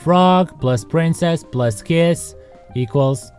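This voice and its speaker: A man's voice: a little high, slow, darkish tone, soft background music. A man narrating a comedic scripted video as its host, sarcastic and conversational, with joking asides to the viewer.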